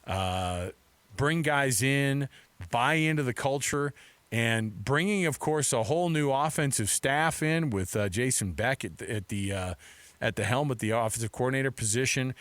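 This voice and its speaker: A man's voice: medium pitch, moderate pace, fairly bright tone, no echo. An American man co-hosting sports talk radio in a casual, rambling, conversational way.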